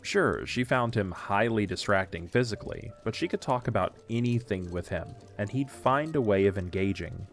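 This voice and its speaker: A man's voice: lowish voice, lively intonation, moderate pace, few pauses, soft background music. A man reading scripted narration for an audio drama, telling a story in the third person.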